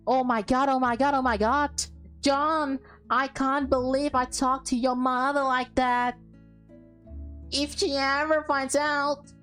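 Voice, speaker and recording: A woman's voice: high-pitched, level tone, slow, fairly bright tone, faint music behind, voice pushed up. A woman voicing a cheeky, scheming teenage schoolgirl in a visual novel, defiant and dramatic. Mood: panicked.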